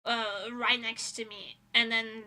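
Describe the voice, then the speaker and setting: Very high, bright-voiced young woman streamer. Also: level tone, takes it slowly. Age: young adult. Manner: rambling, informal, giggly anecdote telling. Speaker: VTuber streamer. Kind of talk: casual livestream chat and storytelling.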